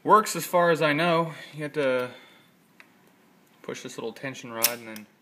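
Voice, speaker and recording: A man's voice: a little high, normal speed, fairly bright tone. A man giving a casual, off-the-cuff show-and-tell in his living room, speaking in a rambling, hesitant, informal way. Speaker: hobbyist showing his vintage audio gear.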